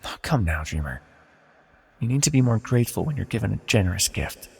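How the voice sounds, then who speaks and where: A husky, low-voiced immortal villain , acted out by a man, speaks in long, hall-like reverb. He is soft and theatrically formal, talking down to his listener with possessive fondness, in the manner of an ASMR fantasy roleplay. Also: big pitch swings, brisk, full character voice. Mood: chiding, menacing. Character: immortal banished villain sorcerer, male, condescending, possessively tender.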